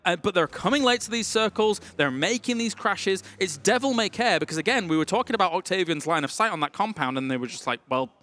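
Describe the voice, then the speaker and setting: A man giving energetic live play-by-play commentary on a mobile battle game tournament from a broadcast booth. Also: high-pitched. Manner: energetic play-by-play, quick reactions. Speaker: esports caster.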